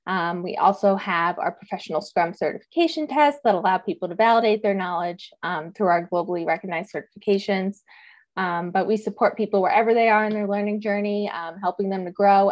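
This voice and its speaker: A woman's voice: mid-range voice, a little fast. A woman moderating an online webinar, introducing the session with frequent "um" fillers. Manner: friendly, many "um" fillers. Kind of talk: hosting a webinar.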